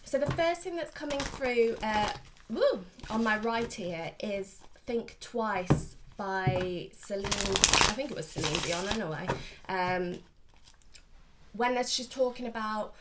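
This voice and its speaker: A woman's voice: medium pitch, slow, background noise. A woman in her thirties gives a casual, chatty tarot reading to camera at home, slightly sniffly with hay fever. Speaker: tarot reader, host. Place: small furnished room at home, indoors.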